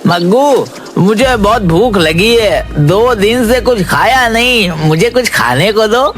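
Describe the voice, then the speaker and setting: Man putting on a very high-pitched sly drunkard. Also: lively intonation, slow pace, fairly bright tone, soft background music, heavily acted. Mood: pleading. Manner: wheedling, boastful. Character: sly village drunkard, eager schemer. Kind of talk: Hindi moral-story cartoon acting.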